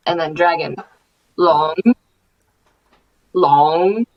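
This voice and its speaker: A woman guest teaching words slowly and patiently, whose first language is not Chinese. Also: deep voice, fairly even intonation, muffled recording. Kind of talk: friendly explaining.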